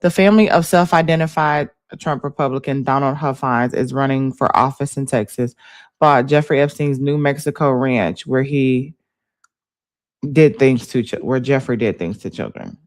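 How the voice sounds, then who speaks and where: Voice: medium pitch, moderate pace, dry recording. An American livestream host chatting casually about politics in an informal, conversational way.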